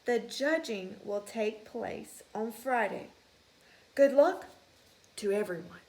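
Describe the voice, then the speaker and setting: A woman voicing a male mouse school principal in a storybook, announcing slowly and emphatically. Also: on the high side, animated, a little mellow, rough recording. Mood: proud, announcing. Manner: slow, emphatic, projected announcing.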